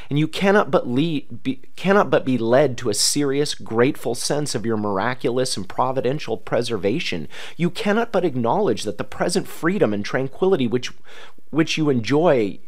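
A man reads a historical letter aloud in a formal, oratorical manner with emphatic pauses. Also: medium pitch. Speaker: reader.

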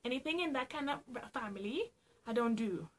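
A woman's voice: a little high, lively intonation, brisk. A young woman from Trinidad and Tobago with a Trinidadian accent, chatting playfully and laughing often as she answers get-to-know-me questions for her vlog in a small room at home. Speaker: vlogger.

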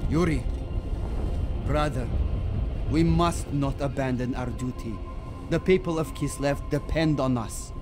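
An actor playing an earnest, dutiful warrior in a video game cinematic, speaking with insistent conviction. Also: male voice, mid-range voice, a little slow, pauses often, close to an everyday voice. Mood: pleading. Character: earnest, dutiful warrior brother urging onward. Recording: music behind. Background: music.

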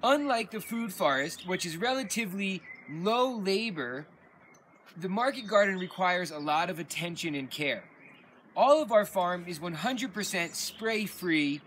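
A man's voice: high voice, animated, measured pace, fairly bright tone, a little hiss or hum. A young man outdoors on a farm, steadily and methodically explaining how it works, recorded by a camera a few meters away. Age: young adult. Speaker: farmer giving a tour. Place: outdoors on a farm among gardens and animal pens.

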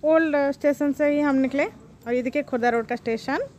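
A woman in her thirties narrating her train trip for her vlog viewers in a chatty, excited way, talking straight to them. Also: sits high, normal speed. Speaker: travel vlogger. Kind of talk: casual vlog voice-over.

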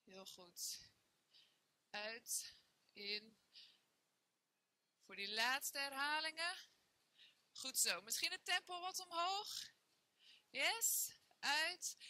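A woman's voice: sits high, bright, forceful tone, no echo. A young woman leading a workout class, counting reps and cheering on her students while she exercises along in a bare indoor room. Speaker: fitness trainer. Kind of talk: guided workout instruction.

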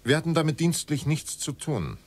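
An actor playing a middle-aged former state secretary under questioning in a radio drama, formal, terse and defensive. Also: male voice, a little high, sing-song, normal speed. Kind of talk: documentary radio drama dialogue.

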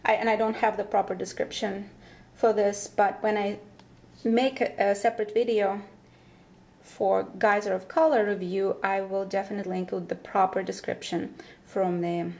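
A woman giving casual unboxing commentary as a vlogger, speaking haltingly in short phrases with pauses, recorded indoors at a table. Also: medium pitch, level tone, creaky, a little mellow. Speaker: vlogger presenting her plant unboxing. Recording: background noise with paper crinkling and clicks. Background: crumpling paper packaging.

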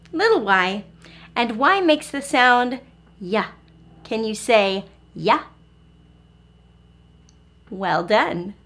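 A woman's voice: fairly high pitch, a bit bright. A woman in her forties teaching and reading to young children, encouraging and carefully enunciated. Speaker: early-learning teacher, host. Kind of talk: children's phonics lesson and read-aloud. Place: small furnished room at home, indoors.

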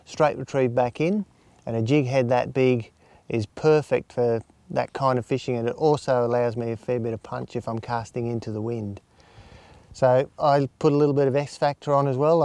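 A man's voice: mid-range voice, mellow, no echo. A man in his fifties with an Australian accent gives informal, plain-spoken instruction in an easygoing way, outdoors. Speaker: fishing instructor. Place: outdoors on an open lake shore.

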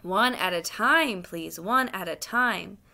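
A woman's voice: on the high side, very animated, a little slow, creaky, bright, forceful tone, pitched above the performer's own voice, exaggerated voice. A woman reading a children's storybook, voicing a stern, officious male policeman with a pompous, commanding manner.

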